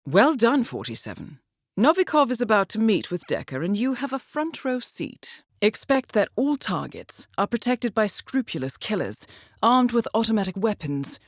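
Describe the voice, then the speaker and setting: An actress playing a composed, shrewd intelligence handler in a video game, briefing an assassin in a measured, formal way with dry understatement. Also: female voice, medium pitch, sing-song, no echo. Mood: dryly approving. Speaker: mission handler guiding an assassin. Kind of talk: scripted video game voice acting, briefing narration.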